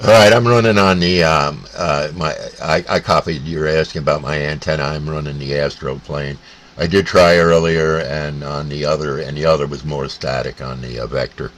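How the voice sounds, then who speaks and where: Deep-voiced North American man on CB radio. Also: fairly even intonation, normal speed, fairly bright tone. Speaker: CB radio operator. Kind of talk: casual two-way radio chat. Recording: faint static and radio hiss.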